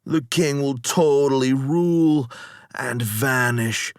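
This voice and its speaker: A man's voice: mid-range voice, slow, no echo, studio quality, pitched above the performer's own voice. A man voicing a blissed-out, dopey stoner in a story, with slurred, slangy, spaced-out drawling. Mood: dreamy prophetic. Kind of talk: acted storytelling.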